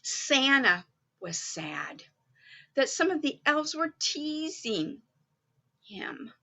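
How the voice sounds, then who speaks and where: A woman's voice: high voice, big pitch swings, drawn-out words, gravelly, pushed and bright, highs cut off, heavily acted. A woman playing a cheerful, motherly Mrs Claus, reading a story aloud to young children and putting questions to them. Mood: gentle storytelling. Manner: exclaiming, addressing young children directly with questions.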